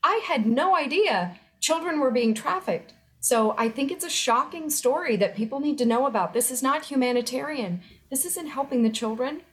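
A woman's voice: high voice, expressive, normal speed, hardly any pauses. A middle-aged woman speaking as an interview guest, earnest and urgent, pressing her points with emphasis. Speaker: interview guest, former federal employee. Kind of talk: remote news interview.

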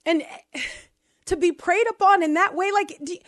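A woman with a New Orleans accent speaks in a high, bright register, pressing her points with repeated questions and emphatic restatements. The recording is dry and close, with no room sound. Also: normal speed, no room sound. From New Orleans, USA. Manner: emphatic, piles up rhetorical questions and repetitions. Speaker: podcast co-host. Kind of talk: casual commentary chat.

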